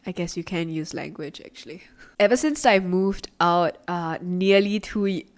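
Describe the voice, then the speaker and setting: A woman in her twenties with a Singaporean accent, hosting her own podcast in a relaxed, chatty way, with lots of "like" fillers, from her apartment. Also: fairly low pitch, normal speed. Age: 20s. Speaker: podcast host. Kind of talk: casual solo podcast talk.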